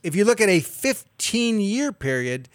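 A man in his fifties co-hosting a call-in advice show, explaining things in a measured way with figures. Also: sits high, sing-song, dry recording, clean studio sound. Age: 50s. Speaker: radio show co-host. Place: studio.

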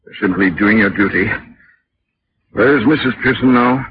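An actor playing a proper, wealthy, career-minded husband with an explosive temper in a 1940s radio drama. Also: male voice, fairly low pitch, normal speed, lightly acted. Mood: shaken, restrained. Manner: formal, emotional. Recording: dull, faintly noisy vintage radio.

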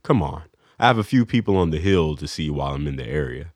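Casting: actor as a wry, easygoing big man, very deep, dry close sound. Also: animated, fast talker, darkish tone, no echo. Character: big, charming, wistful man, her old flame. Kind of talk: radio play acting.

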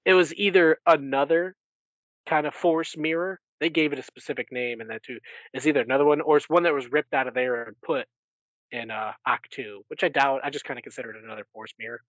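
A man podcast host giving a casual recap in an animated storytelling way. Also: medium pitch, brisk, no room sound, lo-fi sound.

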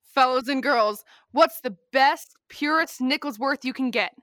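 A woman's voice: high voice, measured pace, fairly bright tone, dry recording. A young woman playing a peppy old-time radio announcer who addresses children. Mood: salesy. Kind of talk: 1930s radio announcing and ad reading.

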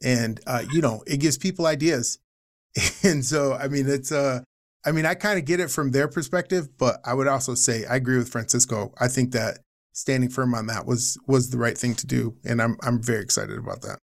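A man's voice: mid-range voice, brisk. A man hosting a podcast, talking earnestly in a loose, rambling way and laughing often. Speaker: podcast host. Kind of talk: casual podcast conversation.

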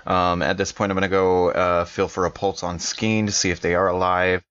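A man's voice: deep voice, level tone, brisk, fairly bright tone, clean tone, dry recording, dull audio. A man playing a tabletop game, casually describing his character's actions.

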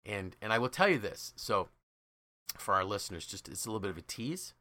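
A man's voice: fairly low pitch, big pitch swings, fairly quick, bright, forceful tone, dry recording. A man hosting a podcast, telling stories conversationally in an enthusiastic, emphatic way and acting out quoted dialogue. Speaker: podcast host and leadership trainer.

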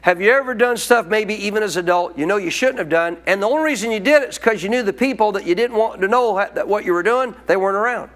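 A man's voice: high-pitched, fast talker, few pauses, a bit bright. A man preaching a sermon as pastor, teaching in a colloquial call-and-response manner.

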